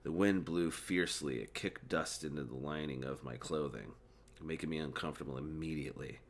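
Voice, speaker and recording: A man's voice: extremely low pitch, a little fast, slight background noise. A man who is mildly drunk, reading a book aloud informally and stumbling over words, with casual commentary.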